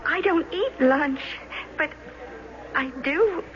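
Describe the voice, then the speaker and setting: An actress playing a shy, coy single woman in a radio drama, speaking haltingly. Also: high voice, lively intonation, a little hiss or hum. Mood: shy coyness. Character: shy, coy unmarried woman accepting courtship. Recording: muffled vintage radio drama recording.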